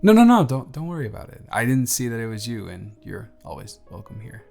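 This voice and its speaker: A man's voice: medium pitch, big pitch swings, brisk, gravelly, a little mellow. A young man playing a chatty, friendly barista who is sweet on a friend, in an intimate one-sided audio roleplay with casual, slightly stammering delivery. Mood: friendly, welcoming. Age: young adult. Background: music.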